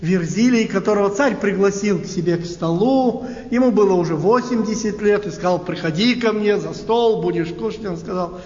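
A man preaching a sermon in a halting, unhurried way, often asking his listeners rhetorical questions. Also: high-pitched, a little mellow. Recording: echoey hall, dull lo-fi sound.

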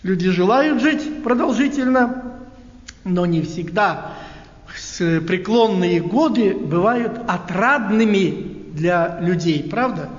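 A man preaching a sermon in a halting, unhurried way, often asking his listeners rhetorical questions. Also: high voice, expressive. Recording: echoey hall, dull lo-fi sound.

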